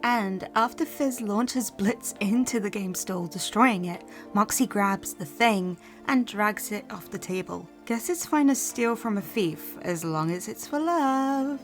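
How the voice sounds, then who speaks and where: A young woman gives a casual, scripted recap with a playful, sweary manner. Her pitch sits in the middle for a woman, and music plays behind her. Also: measured pace, few pauses. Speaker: co-host.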